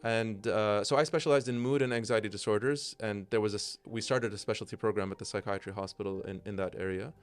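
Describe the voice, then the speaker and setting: A man's voice: lowish voice, fairly even intonation, fast talker, hardly any pauses, clear, resonant tone. A middle-aged man with an American accent, a psychiatrist explaining his career as a talk show guest in an articulate, flowing way. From United States.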